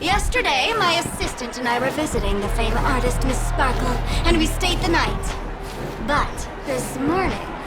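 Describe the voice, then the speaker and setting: A very high-pitched, melodramatic girl detective. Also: lively intonation, moderate pace, loud music behind the voice. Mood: dramatic recounting. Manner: theatrical, exaggerated mock-tragic declaiming. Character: playful, melodramatic girl detective narrating a murder mystery. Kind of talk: theatrical video game voice acting.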